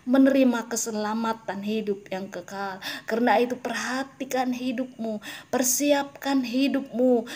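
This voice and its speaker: A woman's voice: fairly high pitch, darkish tone. A woman delivering a solemn prophetic message in God's voice, mixing in speaking in tongues and whispered passages. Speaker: prophetic preacher.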